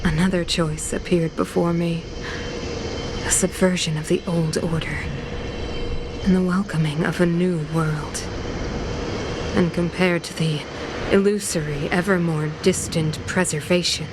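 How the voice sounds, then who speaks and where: A woman voicing a fanatical, commanding female ruler in a video game, cold and grandiose, with theatrical, deliberate oratory. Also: on the low side, fairly even intonation, background noise, natural voice. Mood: solemn, controlled. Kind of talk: dramatic video game voice acting.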